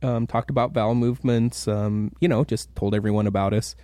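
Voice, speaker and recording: A man's voice: on the low side, steady tone, a little fast, warm tone, no room sound, studio quality. A man hosting a casual podcast chat, with a nasal voice and an odd speaking cadence, using many filler words. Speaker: podcast host.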